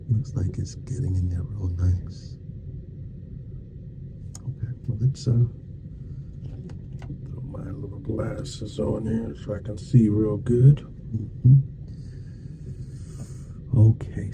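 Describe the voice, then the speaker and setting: A man speaking softly, at times nearly whispering, in an ASMR roleplay as a dentist, with folksy, reassuring small talk in short murmured phrases. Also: on the low side, lo-fi sound, noisy background. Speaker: ASMR performer playing a dentist. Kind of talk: ASMR roleplay, soft-spoken to half-whispered.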